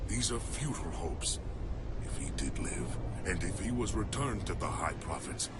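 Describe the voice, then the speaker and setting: A man voicing a loyal alien warrior in a game cutscene, solemn, formal and resolute yet mournful. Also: on the low side. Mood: troubled. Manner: solemn, formal, measured phrasing. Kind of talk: dramatic game cutscene acting. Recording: loud music underneath.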